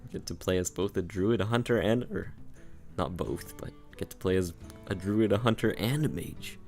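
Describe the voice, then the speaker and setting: A man's voice: on the low side, normal speed, a little mellow. A man giving casual, laid-back commentary while playing a card game, thinking aloud and chuckling at his own jokes, with game music behind. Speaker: gameplay video creator.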